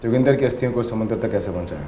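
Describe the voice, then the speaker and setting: A man's voice: on the low side, racing, dark tone, natural voice. A man playing a stern, irritable police officer in a web series, curt, sarcastic and commanding. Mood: dry, impatient. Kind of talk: Hindi web series acting. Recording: echoey room, faint music behind.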